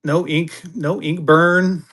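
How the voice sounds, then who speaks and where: A fairly high-voiced man hosts a live auction stream in casual banter. He chats along with easy drawling asides, then jumps up in pitch and volume to cheer his viewers on. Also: slow. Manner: excitable hype bursts, chatty, drawling asides. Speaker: livestream auction seller.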